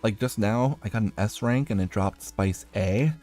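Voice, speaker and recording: Man, deep voice, casual gameplay commentary, rambling, thinking aloud, soft music behind. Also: moderate pace. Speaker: video game streamer.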